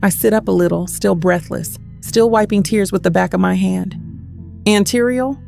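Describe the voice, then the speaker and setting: An actress playing a grieving, shaken young woman who narrates her heartbreak haltingly in an audio drama. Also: mid-range voice, steady tone, creaky, a little mellow, soft background music. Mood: tearful grief.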